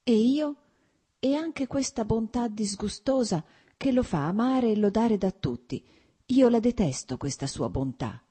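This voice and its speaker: A woman's voice: darkish tone, lightly acted. A woman voicing an anguished, furious betrayed wife in an audiobook, with cutting, bitter delivery. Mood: bitter resentment. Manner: shrill outbursts, cutting and hurried.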